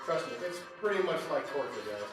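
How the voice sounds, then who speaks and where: A man's voice: on the high side, lively intonation, fast talker, fairly bright tone. A man playing a jaded, sarcastic skeptic in a comedy variety sketch. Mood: grumbling. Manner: sarcastic, grumbling. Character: jaded, sarcastic male skeptic who grumbles at everything. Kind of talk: comedy sketch in old-time radio variety show. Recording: very echoey, distant mic, music behind.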